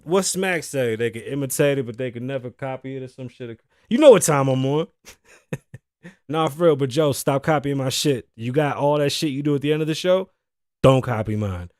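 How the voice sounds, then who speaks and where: A man in his thirties hosts a casual talk show, his voice hoarse. He rambles loosely and profanely at a mid pitch, joking and tossing asides to his crew. The sound is dry and close, with no room around it. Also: normal speed, darkish tone, no echo. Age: 30s. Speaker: host. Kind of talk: casual podcast monologue. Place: show set in Las Vegas.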